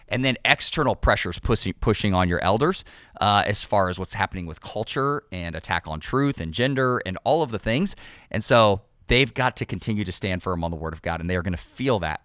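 A man's voice: on the low side, a bit bright, dry recording, clean studio sound. A male podcast host and pastor in casual conversation, encouraging listeners in a sermon-like cadence.

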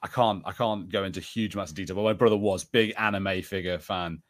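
A man's voice: sits low, fairly even intonation, brisk. A man co-hosting a podcast, reacting in casual chat with a hesitant, word-repeating way of talking. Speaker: podcast co-host.

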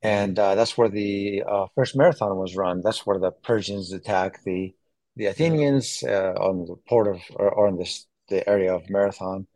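A man in his forties, born in Greece, chatting as an interview guest and often pausing on fillers and restarts. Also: low-pitched, darkish tone, no echo, poor audio. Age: 40s. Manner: halting, many fillers and restarts. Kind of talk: casual interview chat.

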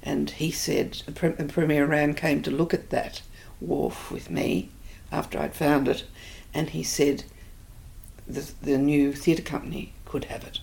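Older Australian woman, low and gravelly. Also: little pitch movement, measured pace, darkish tone. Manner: reflective, hesitant with many fillers. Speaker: interviewee. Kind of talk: oral history interview.

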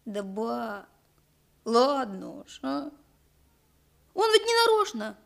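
A small village boy in a radio drama. The voice is high and slow with a creaky edge, pleading and plaintive but soft-hearted. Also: sing-song, full character voice. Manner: whiny, pleading. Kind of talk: radio play acting.

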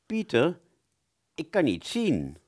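A man playing a wounded British fighter pilot, telling his story in a radio play with a husky voice and halting, stammering delivery. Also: on the high side, huge pitch swings, darkish tone, exaggerated voice. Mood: dazed, pained. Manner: halting, stammering, repeats words. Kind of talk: first-person dramatic narration in a radio play.

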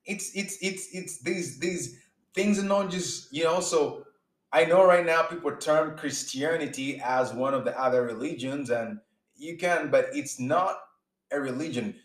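A man's voice: a little high, measured pace, reverberant, poor audio. A man giving a sermon-style teaching in an earnest way, often repeating words and restarting as he builds his points. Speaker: preacher.

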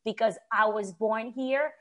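A woman from Haiti speaks in a fairly high voice. She talks conversationally, laying out her views as part of a discussion. Her delivery is earnest and emphatic. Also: unhurried. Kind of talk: conversational opinion talk.

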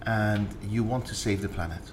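A man's voice: lowish voice, moderate pace, background noise. A man hosting an interview podcast, chatty and cutting in with short questions. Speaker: podcast host.

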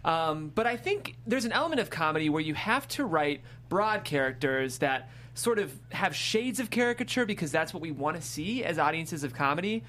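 A man's voice: a little high, animated, moderate pace, hardly any pauses, fairly bright tone. A man hosting a casual interview, asking chatty questions with roundabout phrasing. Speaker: interviewer, show host. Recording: clearly noisy background hum.

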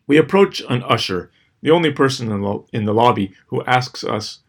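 A middle-aged man reading scripted personal stories as host, with a dry, wry, measured delivery and deliberate pauses. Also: medium pitch, lively intonation, breathy edge. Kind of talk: scripted first-person storytelling.

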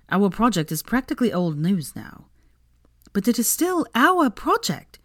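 A woman's voice: lowish voice, no room sound, clean studio sound. A woman reading an audiobook, voicing a smug, self-justifying young male wizard in a dismissive, matter-of-fact way. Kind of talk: dramatic fan fiction audiobook reading.

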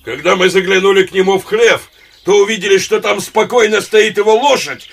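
An actor playing a blunt, suspicious forest outlaw in an audio drama, loud and accusing. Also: male voice, high voice, fairly even intonation, brisk, gravelly, husky, full character voice. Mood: indignant. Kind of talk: Russian audio drama acting. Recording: distant voice amid crowd.

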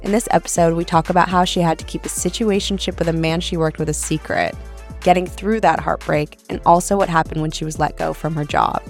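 A woman host gives a read-aloud intro to her podcast. Her voice is low and stuffy with a cold. Faint music plays underneath. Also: animated, brisk. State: nasal from a cold. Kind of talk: scripted episode intro.

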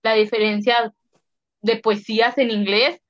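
High-voiced young Mexican woman rambling. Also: level tone, a little slow, lo-fi sound. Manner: rambling, slangy, restarts and trails off. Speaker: podcast co-host. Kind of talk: casual chat.

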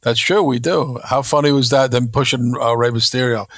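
A man's voice: mid-range voice, normal speed, a bit bright, no room sound, studio quality. A man co-hosting a podcast, agreeing and rambling in casual chat. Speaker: podcast co-host.

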